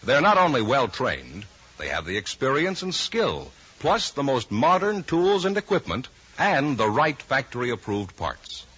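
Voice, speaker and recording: An American man announces in polished, emphatic fifties radio style. His voice is bright and very rough-edged, with animated, swooping intonation. It comes through a lo-fi vintage broadcast. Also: mid-range voice, measured pace. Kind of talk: 1950s radio announcing, scripted sponsor reads.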